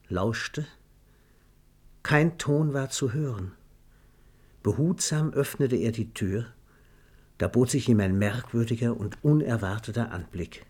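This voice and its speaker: A male narrator with a medium-pitched, husky voice. He reads literary prose as a scripted radio play narration. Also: a little mellow.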